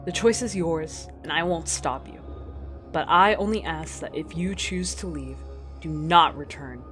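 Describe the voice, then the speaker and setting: A woman's voice: low voice, measured pace, a bit bright, soft background music. A woman playing a stern, tired, cautious expedition captain in an audio drama, firm and curt.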